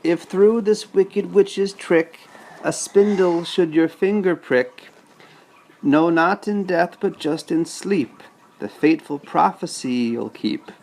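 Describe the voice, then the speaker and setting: A man voicing a kindly good fairy woman who chants a solemn, measured rhyming blessing from a storybook. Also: sits high, a little mellow, pitched above the performer's own voice, exaggerated voice, poor audio. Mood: solemn, gentle. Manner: solemn, measured, singsong rhyme.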